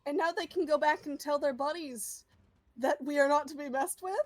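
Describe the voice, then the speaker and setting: A woman's voice: high voice, normal speed, no room sound. A woman chatting casually as a player in a tabletop game. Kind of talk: casual chat.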